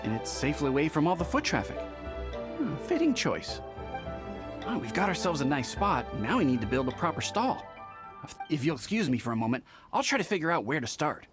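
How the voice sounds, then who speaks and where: A woman's voice: low-pitched, sing-song, brisk. A woman playing a wry, gracious and capable innkeeper in a video game. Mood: helpful. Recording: music under the voice.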